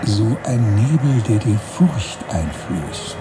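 An actor playing a wistful, romantic writer-narrator in a literary radio drama, musing deliberately in short phrases. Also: male voice, on the low side, big pitch swings, poor audio. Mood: wistful, nostalgic. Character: wistful romantic male narrator-writer recalling his emigration voyage. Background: music.

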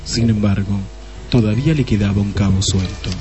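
Casting: male storyteller, mellow mid-low tone, scripted radio drama narration over faint music. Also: moderate pace.

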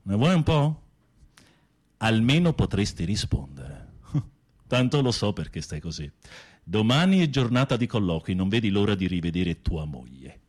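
Husky, low-voiced theatrical prisoner. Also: male voice, sing-song, a little mellow. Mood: playful needling. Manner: theatrical, sardonic, with drawn-out musing. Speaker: radio drama actor. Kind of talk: Italian radio theatre acting.

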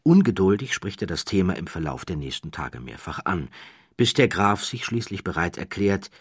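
A man reading a literary audiobook as a scripted narrator, with measured, deliberate phrasing and dramatic pauses. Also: low-pitched, sing-song, slightly rough, no room sound, clean studio sound.

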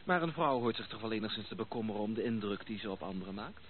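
Actor as a deep-voiced courteous young gentleman. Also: expressive, close to an everyday voice. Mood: reproving. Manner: polite, measured, questioning. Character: courteous young gentleman, mildly reproving, steady-tempered. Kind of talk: Dutch radio play acting. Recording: muffled narrow-band old radio recording, noisy.

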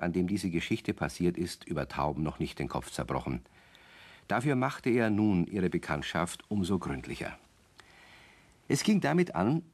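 A man narrating a children's radio play as a storyteller, in a wry, expressive manner. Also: deep voice. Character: wry, genial male storyteller of a children's tale.

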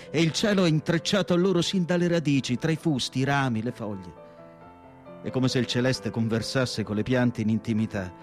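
An actor playing an elderly, melancholy asylum doctor and writer in a measured, solemn radio theatre monologue with pauses between short phrases. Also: male voice, mid-range voice, natural voice. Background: instrumental music.

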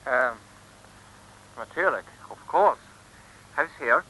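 A man's voice: medium pitch, expressive, slow pace, bright, forceful tone, slight background noise, poor audio. An actor playing a prim male sidekick in an old radio comedy who mixes English phrases into his Dutch. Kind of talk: 1950s radio comedy acting.

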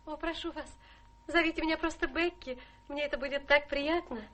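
An actress playing a young, haughty, ambitious governess in a radio play, with a crisp, theatrical delivery. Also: female voice, sits high, steady tone, brisk, a bit bright. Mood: sweetly apologetic. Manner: imperious, crisp, turning sweetly polite.

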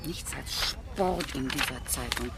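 A woman's voice: a bass voice, slow, creaky, very noisy, exaggerated voice. An actress playing a chatty, motherly carer who fusses in homely radio drama dialogue. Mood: homely fussing. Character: chatty, motherly woman carer, fussing kindly.

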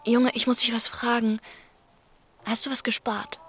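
An actress playing a gentle, hopeful young working-class woman in a radio drama, reassuring and devoted. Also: fairly high pitch, flat delivery, measured pace, faint music behind. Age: young adult. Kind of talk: German radio drama acting.

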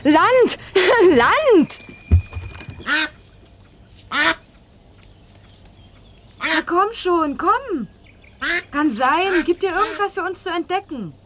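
An actress playing a teasing, inquisitive young student in a naturalistic radio play, probing with questions. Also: female voice, very high-pitched, very animated, slow pace, a bit bright, a little hiss or hum, full character voice. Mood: excited, playful. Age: young adult.

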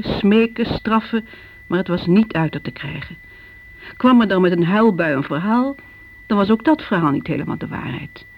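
An actress playing a middle-aged mother in a Dutch radio drama, looking back on her daughters in a measured, worried, exasperated way. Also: medium pitch, lightly acted. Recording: muffled, noisy old radio recording.

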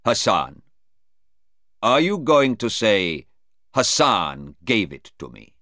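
A man playing a sarcastic, relentless prosecuting lawyer in an audiobook, deliberate and insistent. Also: fairly low pitch, sing-song, sharp tone, dry recording, clean studio sound, pitched above the performer's own voice, heavily acted. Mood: cold, insistent. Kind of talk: audiobook character voice.

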